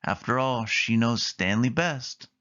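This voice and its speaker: A man's voice: mid-range voice, unhurried, bright, forceful tone. A man voicing a friendly, organized female car who tells stories reassuringly in a children's storybook. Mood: reassuring storytelling. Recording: dull, muffled lo-fi recording.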